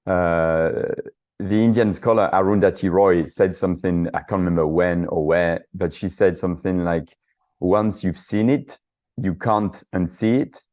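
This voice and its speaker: A man's voice: deep voice, fairly even intonation, unhurried, darkish tone. A middle-aged man from the south of France with a French accent, a guest answering interview questions in a hesitant way, with many fillers and restarts. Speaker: guest, author and activist.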